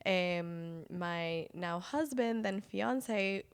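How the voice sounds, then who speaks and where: A woman in her thirties from South Florida, a guest on a radio interview, telling her story in a reflective, measured way. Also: on the low side, clean tone. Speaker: guest, journalist. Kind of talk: casual interview conversation.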